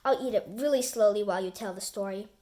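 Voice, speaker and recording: A woman voicing an excitable, scatterbrained young boy in a children's storybook, eager and agreeable as he exclaims. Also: mid-range voice, fast talker, pitched below the performer's own voice, close to an everyday voice. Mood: cheerful.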